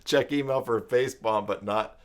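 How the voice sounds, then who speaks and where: A man's voice: mid-range voice, normal speed. A middle-aged man hosting a casual live stream, rambling and thinking aloud, often repeating himself. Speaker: live stream host, web tutorial maker.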